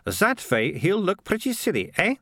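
A man's voice: high voice, huge pitch swings, moderate pace, a bit bright, no room sound, clean studio sound, voice pushed up, exaggerated voice. A man voicing a proud, self-assured girl with a grand imagination in a storybook.

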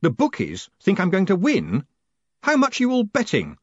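A man voicing a quick-witted, wry and exasperated man in charge in an audiobook. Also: medium pitch, huge pitch swings, slightly rough, dry recording, highs cut off, pitched above the performer's own voice. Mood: alarmed. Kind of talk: audiobook character voice.

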